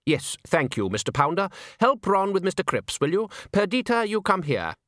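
A man voicing Salzella, an urbane director of music who speaks smoothly and sardonically. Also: high-pitched, big pitch swings, normal speed, fairly bright tone, no echo, clean studio sound, pitched above the performer's own voice. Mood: sardonic.

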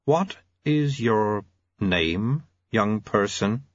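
A man voicing a cold, imperious, inhuman male official who speaks in deliberate, formal, pedantic phrases, in an audiobook. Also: lowish voice, expressive, no room sound, highs cut off. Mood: commanding.

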